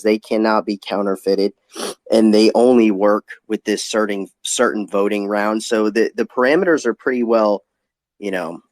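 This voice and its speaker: A man's voice: on the low side, dry recording. A man giving casual, explanatory answers as a company guest in an online audio interview. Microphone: online audio chat.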